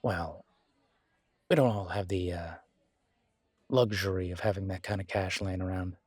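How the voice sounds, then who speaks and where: Guy voicing sardonic werewolf mechanic in audio drama, kinda low husky voice, wary and measured. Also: fairly even intonation, a little mellow, dry recording. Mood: wry, defensive. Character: wary, sardonic male werewolf mechanic, blunt but polite. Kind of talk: audio roleplay drama dialogue.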